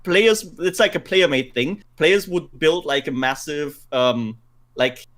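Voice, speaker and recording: Casual male podcast co-host, slightly high voice, storytelling with emphatic repetition. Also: a little slow, a bit bright. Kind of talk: casual podcast chat about games and films.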